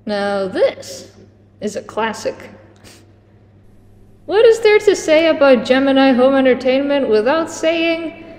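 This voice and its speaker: A woman's voice: high-pitched, animated, slow pace, long pauses, darkish tone. A woman giving casual countdown commentary to camera, conversational and a little hesitant, with dramatic emphasis on certain words. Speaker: host of a countdown video. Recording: echoey reverberant room, clear background noise.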